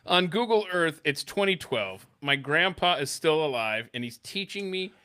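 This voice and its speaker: A man with a bright, slightly high voice hosts loose radio banter. His pitch moves around a lot as he jokes and haltingly thinks out loud. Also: sing-song, clear, resonant tone. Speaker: radio show host. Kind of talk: casual comic radio banter. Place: studio.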